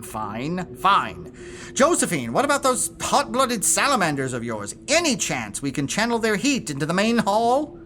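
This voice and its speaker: A woman's voice: medium pitch, wildly swooping pitch, moderate pace, fairly bright tone, a little hiss or hum. A woman playing an exasperated, sarcastic staff manager in an audio drama, with a clipped, indignant manner. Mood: strained.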